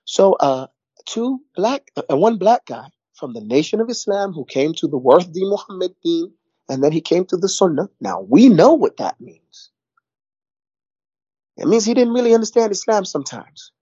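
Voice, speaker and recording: A man's voice: high-pitched, big pitch swings, normal speed, dark tone, husky, no echo, highs cut off. A middle-aged man, talking casually in a rambling way, repeating words and saying "you know" a lot. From New York. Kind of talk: casual conversation.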